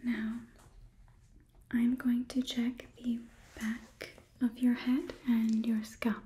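A woman in her twenties softly whispering in a breathy, calm, clinical ASMR roleplay as a polite, condescending robot overlord examining a human. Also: on the high side, slow, background noise. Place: small indoor studio room. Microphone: close ASMR microphone.

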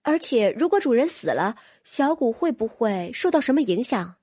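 Woman playing a curious young fantasy heroine in an audiobook, high lively voice, earnest and decisive. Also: moderate pace, slightly rough, no room sound, studio quality. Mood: concerned. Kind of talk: audiobook character voice.

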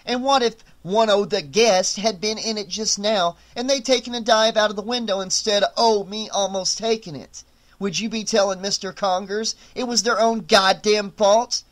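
A man doing a hot-headed young hotel waiter who refuses to back down. His voice rides high, and his replies come quick and heated. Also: lively intonation, a bit bright. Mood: indignant. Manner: heated, defiant comebacks.

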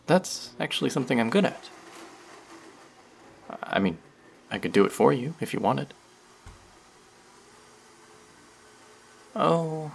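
A man's voice: lowish voice, gravelly, heavily acted. A man playing a chatty young barista who teases and rambles in a friendly way, in a scripted audio roleplay. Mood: playful. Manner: playful, rambling, teasing.

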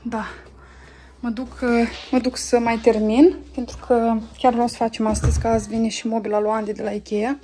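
A woman in her thirties from Romania, chatting casually through her cleaning while filming on her phone at home, pausing often with fillers as she works. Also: a little high, level tone, mellow, a little hiss or hum. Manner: chatty, talks while doing chores, frequent pauses and fillers. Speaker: family vlogger. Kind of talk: casual clean-with-me vlog narration. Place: family home, indoors. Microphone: phone camera.

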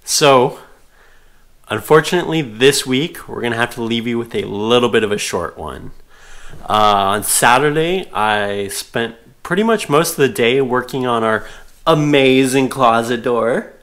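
A man in his thirties giving a casual, chatty vlog update to camera from a couch at home, with many fillers. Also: mid-range voice. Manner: relaxed, chatty, lots of fillers and laughs. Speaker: sailing vlog host. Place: living room, seated on couch indoors.

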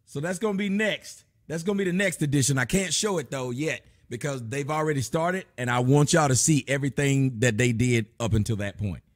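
Man in his 40s, stream host, loud mocking rant, lively pitch. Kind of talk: ranting commentary on live streams. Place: home room with a fireplace.

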